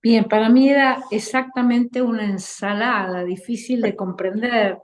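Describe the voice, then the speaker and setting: A woman from Argentina with an Argentine accent, an actress and theater director speaking as a guest in a reflective interview, measured and thoughtful with pauses. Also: fairly high pitch, steady tone. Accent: Argentine Spanish.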